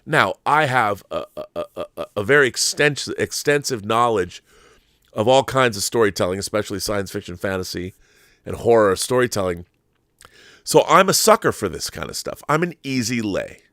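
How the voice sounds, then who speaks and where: A man's voice: fairly low pitch, big pitch swings, normal speed, fairly bright tone, dry recording, studio quality. A man hosting a film commentary show, talking in a casual, opinionated monologue with an effusive, emphatic, rambling manner and some swearing.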